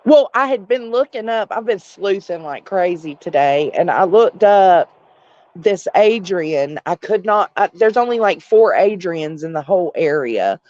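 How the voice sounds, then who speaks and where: A woman's voice: lowish voice, animated, unhurried, darkish tone, dry recording. Woman in casual chat, dull lo-fi sound. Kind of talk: casual chat on a livestream panel.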